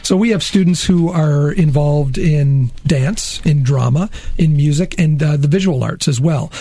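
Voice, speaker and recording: A middle-aged man with a slightly raspy voice, an interview guest from a school, talking with many hesitations and restarts. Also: on the high side, measured pace, dark tone, dry recording. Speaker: teacher at an arts-focused high school.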